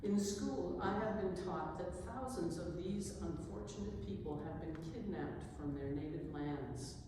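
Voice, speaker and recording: A woman's voice: lowish voice, a little slow, lightly acted. A woman reading dramatic poetry as an earnest, questioning nineteenth-century schoolgirl, with emphatic delivery. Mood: earnest, troubled. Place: large indoor hall at a live event. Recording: very echoey noisy hall, distant mic.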